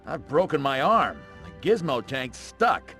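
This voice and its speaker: An actor playing a precise, fretful academic in a video game, with a formal, fussy manner. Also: male voice, medium pitch, big pitch swings, a little slow, vocal fry, a bit bright, faint music behind, exaggerated voice. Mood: glum, worried. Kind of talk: animated video game voice acting.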